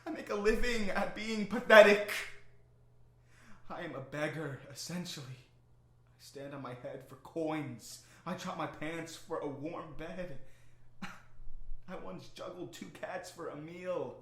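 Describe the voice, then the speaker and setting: An actor playing a young court jester in a radio drama, wry and self-mocking, his voice swinging from quiet to loud. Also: a little high, takes it slowly. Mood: bitter. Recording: echoey room with faint noise.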